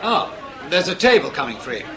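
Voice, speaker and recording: An actor playing a sceptical, exacting British intelligence officer in a radio spy drama, educated, dry and probing, with a testy edge. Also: male voice, high voice, big pitch swings, normal speed, a crowd in the background.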